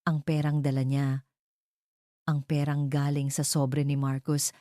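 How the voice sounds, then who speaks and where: A woman's voice: low voice, flat delivery, measured pace, dark tone, dry recording, clean studio sound. A woman reading a scripted romantic drama as an audio story narrator.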